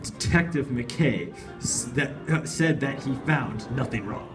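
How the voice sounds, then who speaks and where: A man's voice: mid-range voice, unhurried, natural voice. Guy doing a stern skeptical boss, bit far from the mic, music behind, comedy horror story. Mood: stern, doubting. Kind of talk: live comic horror story reading.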